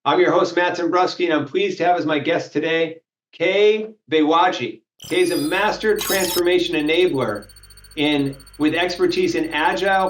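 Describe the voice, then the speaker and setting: A man hosting a podcast interview in a conversational way, introducing and prompting in an echoey room. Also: fairly high pitch. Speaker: podcast host. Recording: roomy, echoey voice recording.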